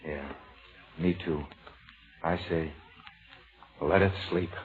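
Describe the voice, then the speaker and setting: Low-voiced, easygoing young sailor. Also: male voice, steady tone, slow pace. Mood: tender, hesitant. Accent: American. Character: easygoing, gentle young sailor, boyishly courteous. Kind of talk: 1940s radio romantic comedy acting. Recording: muffled, noisy old radio recording.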